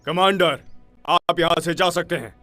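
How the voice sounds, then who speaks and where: An actor playing a grave, authoritative commander in a cartoon dub, speaking in a firm, serious, controlled way. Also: male voice, a little high, unhurried, bright, forceful tone, soft background music. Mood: commanding. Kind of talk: Hindi cartoon dub acting.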